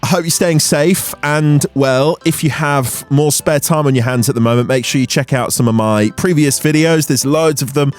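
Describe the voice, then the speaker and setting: A man's voice: a little high, normal speed, hardly any pauses. A British man giving a voice-over outro to his viewers as a video host. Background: music.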